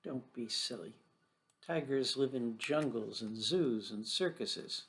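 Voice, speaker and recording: A man's voice: mid-range voice, takes it slowly, a bit bright, slight background noise. A man voicing a bossy, know-it-all young girl in a children's storybook, scoffing and insistent. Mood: dismissive, insistent. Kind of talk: children's storybook read aloud.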